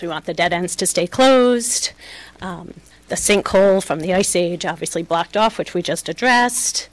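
A woman's voice: fairly low pitch, no room sound. A woman resident giving public comment at a council meeting, earnest and matter-of-fact.

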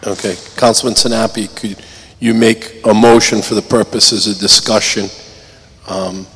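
A man chairing a council public hearing, hoarse and losing his voice, clearing his throat. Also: lowish voice, fairly even intonation, normal speed, a bit bright. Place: council chamber. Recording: echoey chamber, faint noise.

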